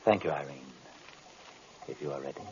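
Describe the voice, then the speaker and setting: An actor playing a shrewd, commanding foreign investigator in an old radio horror drama, speaking in measured, formal phrases. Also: male voice, very deep voice, a little mellow. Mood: calm, reassuring. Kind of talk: 1930s-40s radio horror drama acting. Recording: muffled, noisy old radio recording.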